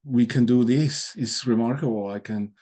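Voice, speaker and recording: Mellow man. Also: mid-range voice, moderate pace. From Colombia. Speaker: participant in a group video meeting. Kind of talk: casual chat. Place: video call.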